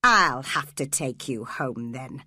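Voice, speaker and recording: A woman voicing a cold, scheming grown man who speaks with quiet, controlled menace. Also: extremely low pitch, lively intonation, slow pace, no room sound, clean studio sound, pitched below the performer's own voice, exaggerated voice. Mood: coldly menacing.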